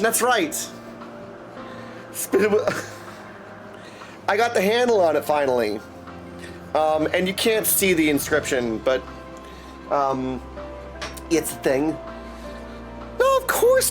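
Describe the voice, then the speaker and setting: A man chatting casually and in a friendly way with viewers as he streams a video game. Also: sits high. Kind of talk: casual livestream chat over gameplay. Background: music.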